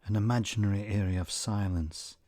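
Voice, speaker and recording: A man narrating a scripted guided meditation in a soothing, measured manner, leaving frequent pauses between short phrases. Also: lowish voice, steady tone, dark tone, dry recording, clean studio sound.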